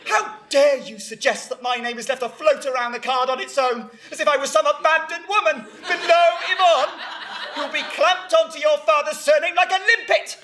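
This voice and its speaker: A man's voice: very high voice, steady tone, normal speed, hardly any pauses, bright, forceful tone, roomy sound. A man voicing a self-pitying, indignant older mother in a melodramatic, wounded way during a stage monologue.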